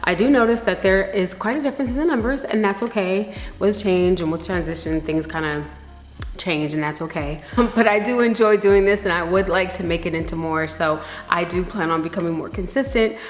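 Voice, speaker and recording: A woman's voice: on the low side, fairly quick, faint music behind. A middle-aged woman vlogger chatting candidly to camera, a little nasal from a slight cold, rambling and reflective. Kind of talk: casual reflective chat to camera. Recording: echoey room with long reverb.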